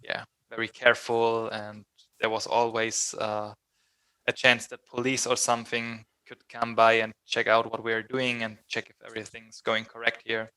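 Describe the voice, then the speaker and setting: A man's voice: medium pitch, monotone, normal speed, sharp tone, smooth, low-quality recording. A man with a German accent, a native German speaker chatting casually as an interview guest and sometimes searching for English words. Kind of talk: casual chat.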